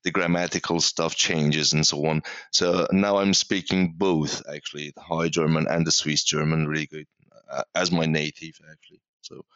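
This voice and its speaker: Guy in his 40s w persian accent, low voice, searches for words, podcast guest, kinda muffled lo-fi audio. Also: dry recording. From Iran. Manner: halting, repeats words as he searches for phrasing. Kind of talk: casual conversational interview.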